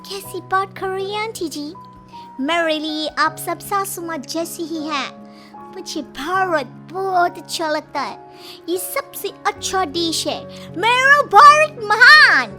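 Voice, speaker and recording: A woman's voice: very high voice, lively intonation, slow, over music, exaggerated voice. A woman voicing an earnest, devoted young daughter-in-law in a cartoon story, melodramatic in manner. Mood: sweet, cheerful.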